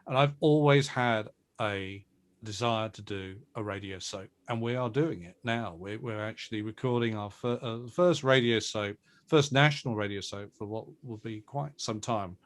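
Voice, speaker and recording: Low-voiced man chatting about work. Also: normal speed. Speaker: interview guest, media producer and director. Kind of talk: casual panel conversation.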